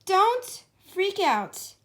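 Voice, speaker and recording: A woman voicing a shy, excitable young female hedgehog in a storybook, bashful and easily flustered. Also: extremely high pitch, extremely slow, voice pushed up, heavily acted.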